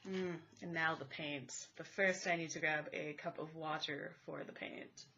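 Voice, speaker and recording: A woman who is congested and a little raspy, chatting casually about art supplies while she draws at home. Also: deep voice, fairly even intonation, a little slow, bright, forceful tone, dull audio, slight background noise. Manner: chatty, thinking aloud while drawing. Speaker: art vlogger. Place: home, indoors at a table. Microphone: camera overhead pointed at the paper. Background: furnace and cat noises.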